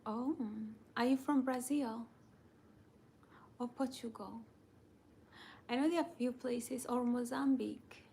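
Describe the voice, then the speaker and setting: A woman's voice: on the high side, fairly even intonation, unhurried, creaky, pauses often, mellow, a little hiss or hum. A woman hosting a casual livestream, chatting and reading viewer comments aloud. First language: Kamba.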